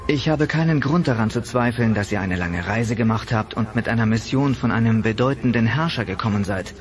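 An actor voicing a dignified Inca ruler, speaking in a measured way in a documentary reenactment. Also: male voice, mid-range voice, slight background noise, lightly acted.

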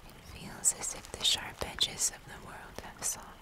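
Woman playing a whispering, wistful moth creature. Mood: entranced, tender. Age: young adult. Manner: slow, soft, entranced, intimate. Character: gentle, wistful moth-human hybrid woman of the woods. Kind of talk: whispered fantasy ASMR roleplay.

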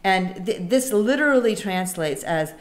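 A woman guest in an interview, telling stories in an animated, conversational way with quick asides. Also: mid-range voice, roomy sound. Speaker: casting director and theatre director, guest.

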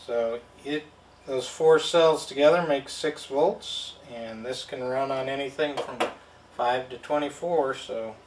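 A man's voice: mid-range voice, steady tone, measured pace, distant mic, a little hiss or hum. Man demoing a circuit board, step-by-step explaining, roomy room, a bit off-mic. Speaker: product demonstrator. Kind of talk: hands-on product demo. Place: indoor studio at a table.

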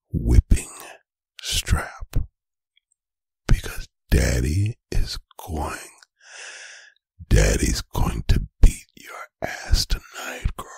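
A man playing a stern, angry, domineering boyfriend in a whispered ASMR roleplay, breathy and gravelly, with slow, deliberate, menacing phrasing. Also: rumbling low, a little mellow, no room sound, clean studio sound, heavily acted. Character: stern, angry, domineering boyfriend scolding his adult partner. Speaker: ASMR voice performer. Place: bedroom, close and dry.

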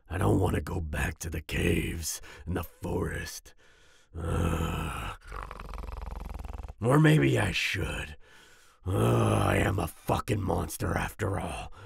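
A man playing a volatile but soft-hearted werewolf boyfriend in an ASMR roleplay, growling and raspy, with sighs and groans. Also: sits low, expressive, exaggerated voice. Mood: raging, self-loathing. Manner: snarling outbursts, sighs and groans, slow brooding delivery. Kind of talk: ASMR roleplay audio drama.

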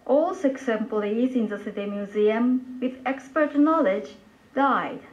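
A woman's voice: a little high, takes it slowly. A woman narrating a scripted news report, replayed over speakers in a room. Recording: muffled, noisy playback in a room.

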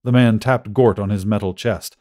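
A man's voice: on the low side, steady tone, moderate pace, warm tone. A man reading a scripted short story as an audiobook narrator.

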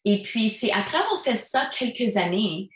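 A woman's voice: medium pitch, sing-song, moderate pace, echoey room. A Franco-Albertan woman in her thirties, a singer-songwriter guest, talking in a casual, chatty way with many fillers. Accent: Franco-Albertan French. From Alberta, Canada. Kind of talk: casual interview talk.

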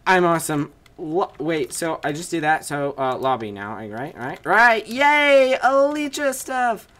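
A teenage boy commentating his own game play at home, reading signs aloud and yelping loudly at his wins and deaths, his voice going hoarse. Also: a little high, very animated, unhurried. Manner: shouts and squeals when failing or winning, reads signs aloud. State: losing his voice. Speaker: gaming video creator. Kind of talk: excited gameplay commentary. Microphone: desk mic with webcam.

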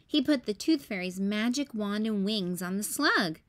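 A woman hosting story time, reading a children's picture book in a careful, expressive way for young listeners. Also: mid-range voice, normal speed. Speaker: storytime host.

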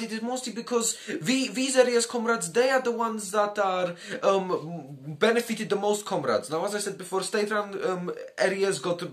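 A man's voice: high voice, a bit bright. A man delivering an informal political commentary as a brash, boastful comic communist persona, swearing freely and addressing listeners as comrades. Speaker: video host.

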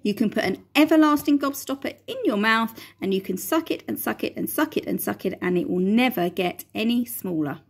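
An eccentric male chocolate maker, bursting with glee and barking orders in a bright, bossy voice, as a woman reading a storybook puts him on. He sounds proud and excitable, and the sound comes from a phone held close. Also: fairly high pitch, fairly quick, gravelly, hardly any pauses, slightly rough. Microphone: phone camera at close range.

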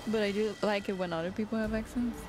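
A woman's voice: mid-range voice, steady tone, fast, over music. A young adult woman from the Netherlands with a Dutch accent, playfully chatting and laughing often while co-hosting a game stream from a small stream room. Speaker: community manager co-host of a game stream. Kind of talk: casual chat while playing a game. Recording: noticeable background noise.

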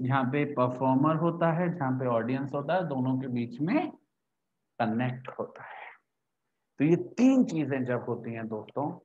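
A young man gives an explanatory lecture in a fairly high voice. He repeats key points and asks questions that he then answers. The sound is slightly dull and lo-fi. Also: a little mellow. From India. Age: young adult. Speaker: law teacher. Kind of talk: lecture to camera, Hindi mixed with English terms. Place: small indoor room with a whiteboard. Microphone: lapel mic.

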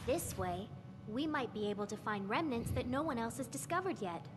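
An eager young girl in a video game acted by a woman, high and very lively in pitch. Her voice rings in a hall with noise behind it. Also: moderate pace, natural voice. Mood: helpful, hopeful. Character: eager, bright teenage girl, little sister. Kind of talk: video game cutscene acting. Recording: reverberant hall, clear background noise.

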